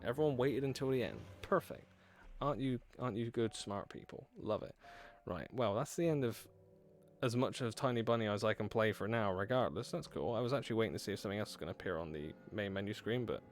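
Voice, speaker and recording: A young man streaming a horror game, reading its text aloud and bantering with chat, swearing and laughing as he goes, on a headset mic in a small room. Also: on the low side.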